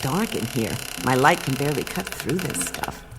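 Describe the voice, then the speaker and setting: A woman's voice: extremely low pitch, very animated, moderate pace, background noise, full character voice. An actress playing a tough, wry female adventurer who narrates matter-of-factly in a science-fiction radio play. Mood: hushed, observant. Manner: matter-of-fact, wry narration with conversational asides. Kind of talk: radio play acting, first-person narration. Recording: loud music behind voice.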